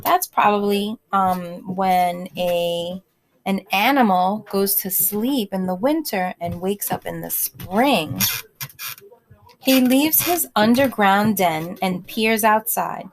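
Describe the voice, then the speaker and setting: A woman's voice: medium pitch, slow pace, slight background noise, rough recording. A woman reading a picture book aloud to young children, expressively, with conversational asides and questions to the listeners.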